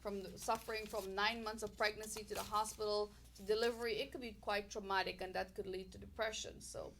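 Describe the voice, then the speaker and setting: A woman's voice: medium pitch, level tone, fairly quick, gravelly, a bit bright, slight background noise. A woman with a Caribbean accent hosting a casual health talk show, greeting viewers and reading their comments in an upbeat way. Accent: Caribbean English.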